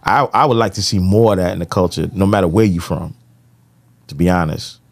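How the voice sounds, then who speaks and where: A man's voice: sits low, fairly quick, creaky. An American man in casual podcast conversation, giving his opinions with frequent conversational tag phrases. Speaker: podcast co-host.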